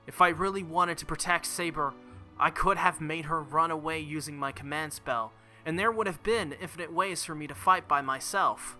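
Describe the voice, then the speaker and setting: Male let's-play reader, very bright forceful voice, dramatic visual novel narration over music. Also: a little high, normal speed. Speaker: let's play video host. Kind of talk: reading visual novel text aloud.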